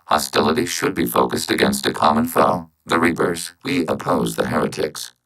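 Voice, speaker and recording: A low male robot voice from a video game. It speaks for a machine collective with measured, formal precision. The delivery is calm, logical and emotionless, with a synthetic, processed edge. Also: vocal fry, full character voice, poor audio. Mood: flat, analytical. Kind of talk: scripted video game character acting.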